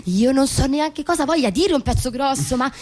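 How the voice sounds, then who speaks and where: An actress playing a frustrated, fervently persuasive suburban wife in a radio drama, intense and insistent, leaning on repeated words. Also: female voice, sits high, expressive, moderate pace, a little mellow. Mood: agitated. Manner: intense, insistent, emphatic repetitions. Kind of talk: stage-style radio drama dialogue.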